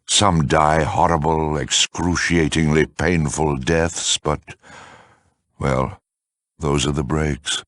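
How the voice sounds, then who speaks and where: An actor voicing a cruel, urbane super mutant lieutenant in a video game, mock-courteous, sardonic and theatrical, with a gravelly, raspy voice. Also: male voice, extremely low pitch, a bit bright, no echo, studio quality, full character voice. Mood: smug, zealous. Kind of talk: video game villain dialogue.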